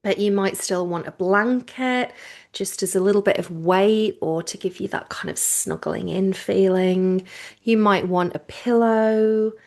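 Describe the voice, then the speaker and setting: Woman gently guiding a relaxation class. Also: medium pitch. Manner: gentle, reassuring, unhurried phrasing with pauses. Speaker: yoga nidra teacher leading a class. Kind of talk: guided relaxation instruction, informal and conversational.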